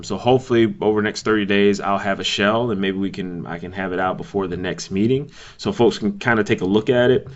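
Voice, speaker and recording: A man with a low voice runs a meeting by conference call. He speaks informally and haltingly, working out his points as he goes. The sound is dull and lo-fi. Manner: halting, hedging, thinking aloud.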